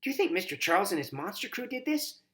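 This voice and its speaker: A blunt, excitable young boy from a storybook, played by a man. He sounds easily baffled and asks things head-on. The pitch swings widely as he talks. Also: fast. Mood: fearful. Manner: blunt, exclamatory questioning.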